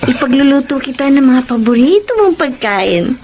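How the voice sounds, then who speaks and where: A woman's voice: high voice, sing-song, normal speed, noisy background. A woman playing a flirtatious, sweet-talking co-worker in a Filipino radio melodrama, coy and teasing. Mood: flirty.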